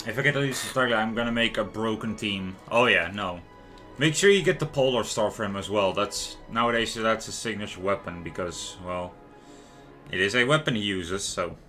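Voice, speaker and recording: A man's voice: on the low side, lively intonation, normal speed, sharp tone. A man giving casual commentary as he livestreams a game. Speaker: game streamer. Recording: music playing behind.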